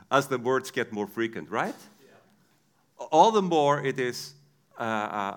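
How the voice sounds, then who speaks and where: A man's voice: medium pitch, fairly bright tone. A man with an Icelandic accent, a native Icelandic speaker, preaching a sermon in a conversational storytelling way with frequent restarts.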